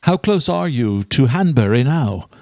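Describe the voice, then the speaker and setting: A man voicing an older, courteous, determined male doctor in an audiobook, speaking in a formal, measured way. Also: mid-range voice, very animated, gravelly, a little mellow, no room sound, clean studio sound, pitched above the performer's own voice. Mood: intent. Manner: formal, measured, old-fashioned address. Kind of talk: audiobook character dialogue.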